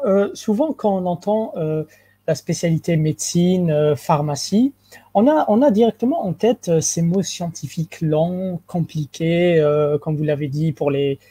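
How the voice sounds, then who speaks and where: An interviewer asks long, polite questions, pausing often on fillers. The voice is mellow and of middle pitch, recorded close and dry. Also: husky, no echo. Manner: hesitant, many fillers, polite.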